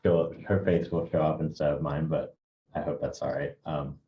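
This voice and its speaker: A man's voice: rumbling low, fairly even intonation, moderate pace, reverberant, muffled recording. A man speaking on a panel over a video call, with a hesitant manner full of restarts. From England. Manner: hesitant, many restarts and fillers. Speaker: MBA panelist. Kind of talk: casual panel discussion. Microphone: computer mic on a video call.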